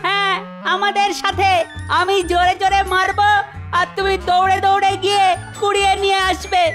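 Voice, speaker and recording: A woman's voice: very high voice, completely flat delivery, fairly quick, loud music behind the voice. A woman playing a cheeky, stubborn little cartoon child with a childish scolding manner. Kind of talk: Bengali cartoon voice acting.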